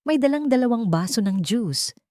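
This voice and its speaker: A woman's voice: fairly high pitch, expressive, moderate pace, dark tone, no echo, studio quality. A woman reading a scripted dramatic story as its narrator.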